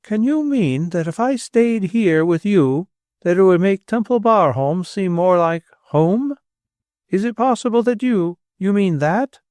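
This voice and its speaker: A man voicing a timid elderly gentlewoman who speaks in a prim, shaky and tearful way. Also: high voice, very animated, normal speed, no echo, pitched above the performer's own voice. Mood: anxious, prim. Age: older. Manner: tremulous and shaky, prim and formal.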